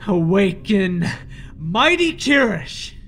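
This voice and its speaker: A man playing a fanatical, desperate cult leader devoted to a dark master, theatrical and intense, in an immersive audio roleplay. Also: high voice, big pitch swings, takes it slowly, soft background music, heavily acted. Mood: grandiose, incantatory. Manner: theatrical, intense, stammering when shaken.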